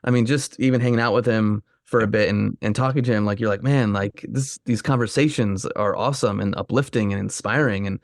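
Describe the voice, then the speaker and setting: A man's voice: fairly low pitch, steady tone, a little fast, vocal fry, hardly any pauses, dry recording, clean studio sound. A man hosting a podcast interview who talks in a friendly, rambling way with many fillers. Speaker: podcast host.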